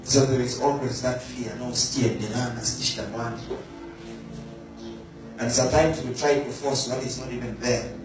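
Male preacher, measured emphatic delivery; distant mic in a very reverberant room. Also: medium pitch, fairly even intonation. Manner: slow, emphatic, repeats key words. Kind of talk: sermon. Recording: very echoey room, voice from afar, faint music.

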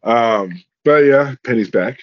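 Forties man, average pitch, hesitant casual co-host, dull lo-fi audio. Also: slow. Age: 40s. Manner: hesitant, restarts often. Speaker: podcast co-host. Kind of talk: casual podcast chat.

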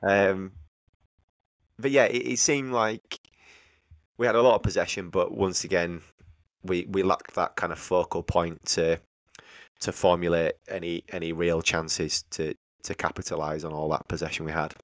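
Low-voiced man, hesitant football chat. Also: level tone, normal speed, no room sound. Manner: hesitant, repeats words while thinking. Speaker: podcast co-host. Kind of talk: casual football podcast chat. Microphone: remote call recording.

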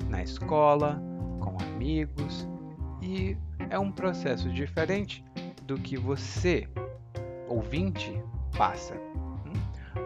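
A Brazilian man with a Brazilian Portuguese accent, hosting a language-learning podcast and speaking clearly and slowly like a teacher. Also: mid-range voice, muffled recording. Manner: clear, slow teacher's speech with lively rises.

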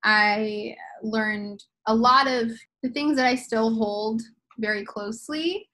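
Higher-pitched woman, casual and reflective. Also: slow pace, bright, forceful tone. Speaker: guest, community organizer and campaign manager. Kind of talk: casual conversational interview.

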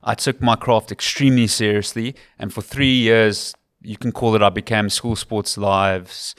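A South African man in his thirties speaks with a Cape Town accent in a fairly low voice. He talks earnestly and conversationally, like a commentator recounting his career in an interview. The sound is dry, with little room echo. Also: fairly even intonation, measured pace, a bit bright, smooth. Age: 30s. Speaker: rugby commentator, podcast guest.

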